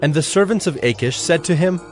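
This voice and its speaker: A man's voice: a little high, expressive, a little fast, soft background music. A man narrating a dramatized Bible story from a script.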